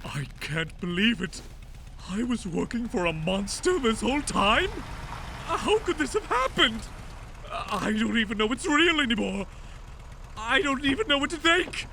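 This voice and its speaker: A man's voice: very high voice, animated, measured pace, vocal fry, bright, forceful tone, slight background noise, exaggerated voice. A man playing a big, gullible, distraught henchman oaf in a cartoonish audio drama, dim and melodramatic. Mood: bewildered dismay.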